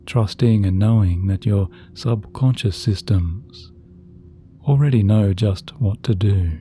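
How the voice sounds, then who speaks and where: A man narrating a sleep meditation in a soft, gentle, soothing voice with slow, measured phrasing. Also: on the low side, slight background noise. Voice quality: soft, very dark and mellow. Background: relaxing background music.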